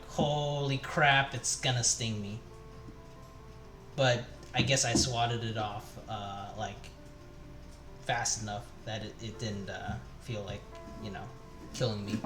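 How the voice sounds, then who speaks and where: A young man chatting casually while he cooks on a live stream, rambling with lots of fillers and restarts. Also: medium pitch. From Taiwan. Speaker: cooking streamer. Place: home kitchen. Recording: echoey room with music. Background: background music and chopping.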